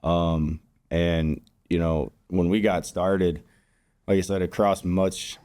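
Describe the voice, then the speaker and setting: A man's voice: low-pitched, flat delivery, slow, a little mellow, clear, resonant tone, no room sound. A man from Kansas, a guest on a podcast, explaining things in an easygoing way with lots of fillers. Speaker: guest, conservation group regional director. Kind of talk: casual podcast chat. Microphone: studio podcast mic.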